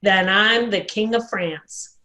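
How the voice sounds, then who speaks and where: A woman's voice: medium pitch, slow, pushed and bright, poor audio. A woman voicing a friendly but doubtful, questioning neighborhood man in a storybook. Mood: skeptical. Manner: questioning, skeptical.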